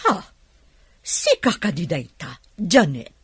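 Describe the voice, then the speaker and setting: A woman's voice: on the low side, sing-song, slow pace, fairly bright tone, slightly rough, no echo, exaggerated voice. A woman playing a blustery, teasing factory boss in a comedy radio drama, full of exclamations. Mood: astonished, teasing.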